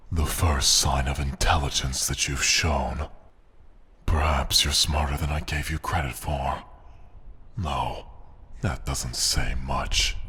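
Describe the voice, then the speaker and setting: Abyssally deep, haughty dragon. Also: male voice, sharp tone, breathy edge, heavily acted. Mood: haughty, mocking. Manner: haughty, formal, deliberate, threatening. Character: arrogant, menacing, possessive male dragon, last of his kind. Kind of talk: ASMR fantasy roleplay, slow theatrical monologue.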